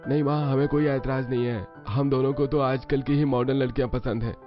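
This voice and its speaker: A man's voice: medium pitch, steady tone, a little fast, dull audio, music in the background, voice pushed up, natural voice. A man voicing an eager young brother-in-law in a cartoon family story. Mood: self-assured.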